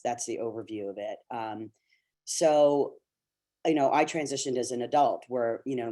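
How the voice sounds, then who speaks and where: A middle-aged man with a soft, mellow voice at a medium pitch speaks over a video call. He talks earnestly and encouragingly to young actors, often pausing with fillers and restarting his sentences. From Canada. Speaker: actor speaking on a casting webinar. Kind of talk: candid talk to young actors.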